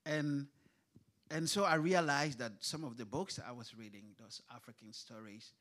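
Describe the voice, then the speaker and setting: A man's voice: mid-range voice, normal speed, a bit bright. A man who grew up in Kuwait, speaking as a writer at a literature panel, hesitant with many fillers and restarts. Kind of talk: panel discussion. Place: event hall on stage.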